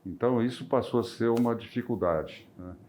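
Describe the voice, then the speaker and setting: A man's voice: fairly quick, a little mellow, a little hiss or hum. An older retired male physician with a rural São Paulo interior accent, recalling his career with many hesitations and restarts. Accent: rural São Paulo interior, near Minas Gerais. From interior of São Paulo state, Brazil. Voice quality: deep voice. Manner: hesitant, with frequent restarts and filler sounds. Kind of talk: reflective personal recollection.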